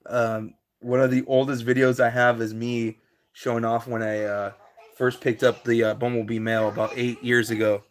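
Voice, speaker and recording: A man's voice: mid-range voice, little pitch movement, moderate pace. A man, hosting a casual live stream and answering his viewers' chat in a laid-back way, with lots of fillers.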